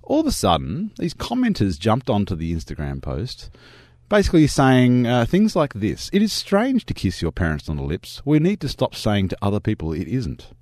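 A man with a slightly croaky voice, chatting and mocking the news as a radio co-host. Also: on the low side, wildly swooping pitch, normal speed, darkish tone, no echo, studio quality. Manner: chatty, mocking, laughs often. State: croaky voice from yelling. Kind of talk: casual radio chat.

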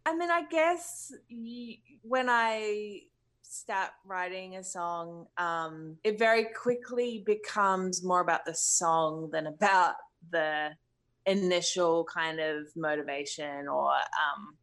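Low-voiced woman from New Zealand, musing. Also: slow, fairly bright tone. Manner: thoughtful, hesitant, many fillers. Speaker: musician. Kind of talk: interview answers.

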